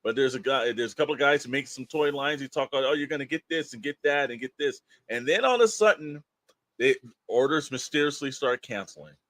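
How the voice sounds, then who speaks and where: Male podcast co-host, mid-pitched voice, emphatic and opinionated, loose colloquial delivery that rambles. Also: expressive, fast, a bit bright, no echo. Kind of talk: opinionated casual podcast talk.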